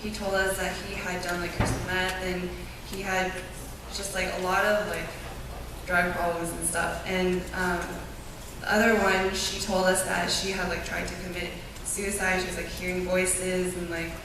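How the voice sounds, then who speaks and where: A woman's voice: fairly low pitch, normal speed. Chatty young woman in an echoey hall. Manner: chatty, animated storytelling with many fillers. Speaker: youth group member sharing a mission trip. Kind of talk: informal testimony to a church group. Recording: echoey room, distant mic, clear background noise.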